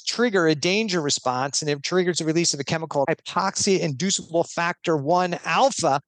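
Higher-voiced man explaining step by step. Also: fairly bright tone, dry recording. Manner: earnest, explains step by step with restarts. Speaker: guest expert. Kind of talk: explanatory podcast talk.

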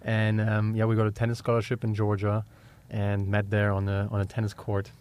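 Flat-voiced German man, halting. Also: on the low side, clean tone. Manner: hesitant, many restarts and fillers. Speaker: guest, company co-founder. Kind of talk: casual interview talk.

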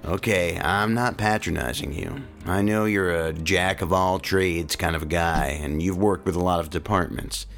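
A deep, gravelly federal agent. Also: male voice, normal speed, hardly any pauses, a bit bright. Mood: firm. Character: seasoned male federal agent, skeptical, blunt, experienced in fieldwork. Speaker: federal investigator. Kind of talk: audio drama acting. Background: faint music.

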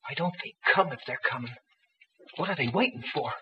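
An actor playing a brash, wisecracking young guerrilla in a 1940s radio adventure serial, full of slang. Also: male voice, fairly high pitch, lively intonation, a little fast, close to an everyday voice. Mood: tense. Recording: distant, muffled, noisy old radio recording.

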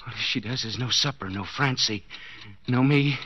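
An actor playing a desperate, guilt-ridden husband in a 1940s radio suspense drama, stammering and repeating himself breathlessly. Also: male voice, mid-range voice, moderate pace, sharp tone. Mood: frantic pleading. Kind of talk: 1940s radio suspense acting, interior monologue. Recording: noisy, dull 1940s radio recording.

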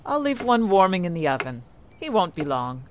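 A woman acting a fond, practical older wife and mother in an audio drama, gently chiding and fretful. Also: on the low side, very animated, moderate pace. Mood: calm, weary. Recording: audible background noise with clicks.

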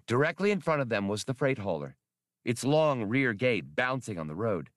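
A man's voice: medium pitch, big pitch swings, normal speed, no echo, clean studio sound. A man reading an adventure novel as scripted, dramatic audiobook narration.

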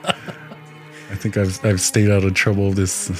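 A man who is a podcast guest, talking in a hesitant, halting way in casual chat in a studio. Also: on the low side, flat delivery, a little mellow, faint music behind. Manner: hesitant, halting, lots of restarts.

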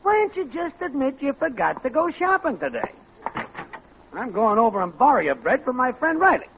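Self-important American pal, wildly swinging intonation, mock-grandiose, 1940s radio sitcom, noisy aged recording. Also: male voice, very high voice, sing-song, normal speed, creaky, fairly bright tone, highs cut off, full character voice. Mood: indignant. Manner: mock-grandiose, easily offended. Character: self-important, scheming working-class American neighbor and pal.

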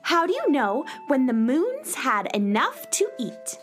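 A woman's voice: sits high, huge pitch swings, measured pace, music in the background, heavily acted. A woman playing a cheerful, eager young joke-telling space girl with an earnest, emphatic manner in a children's audio comedy. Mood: playful.

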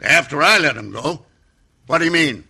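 An actor playing a stern, indignant Old West town marshal in a radio drama, blustering. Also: male voice, on the high side, lively intonation, normal speed, bright, forceful tone, exaggerated voice. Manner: blustering, gruff orders. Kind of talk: old-time radio western acting. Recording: noisy vintage radio recording.

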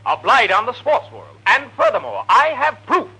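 A crusading sports broadcaster heard through tape playback. The voice is extremely high and forceful, with a rough edge. The delivery is declamatory, in 1940s radio style. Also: male voice, very animated, normal speed, exaggerated voice, poor audio. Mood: accusing. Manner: declamatory, accusing. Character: crusading male sports commentator on a taped broadcast.

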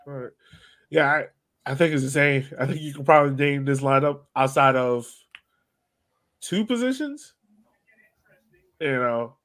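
A man's voice: medium pitch, a bit bright. A man hosting a sports podcast, chatting casually and putting questions to his co-hosts in a conversational way. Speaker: podcast host. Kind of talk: casual soccer podcast chat.

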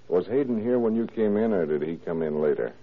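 An actor playing a gruff, probing police detective with clipped, dryly sarcastic delivery in a 1940s radio crime drama. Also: male voice, sits low, lively intonation, fairly quick. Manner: clipped, commanding, questioning. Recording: muffled, band-limited old radio recording.

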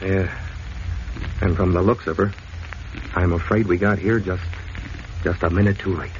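An actor playing a gruff, blunt police detective who speaks demandingly, in 1940s radio style. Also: male voice, sits low, steady tone, normal speed, natural voice. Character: gruff, pressing adult male police detective. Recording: muffled, noisy old radio recording.

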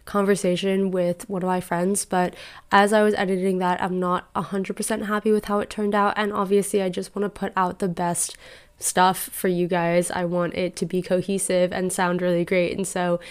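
A woman's voice: on the low side, little pitch movement, normal speed, clear, resonant tone. A woman in her twenties hosting a solo podcast, talking through life advice in an earnest, chatty and reflective way into a podcast microphone. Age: 20s. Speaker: podcast host.

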